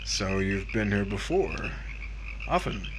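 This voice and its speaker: Actor as slow-talking, weary radio drama husband. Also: male voice, on the low side, lively intonation, background noise. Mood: uneasy, compliant. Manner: halting, hesitant delivery in short phrases. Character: weary, hesitant married man out at night. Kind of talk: 1950s radio drama acting.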